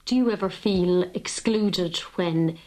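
A woman interviewer asking gentle, probing questions in a radio documentary. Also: fairly low pitch, takes it slowly, poor audio.

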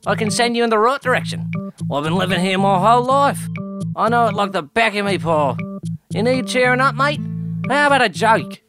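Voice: mid-range voice, lively intonation, fairly quick, close to an everyday voice. A performer voicing a cheerful, folksy koala who jokes and calls everyone mate. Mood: cheery. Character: cheery laid-back Australian koala in a tree. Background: music.

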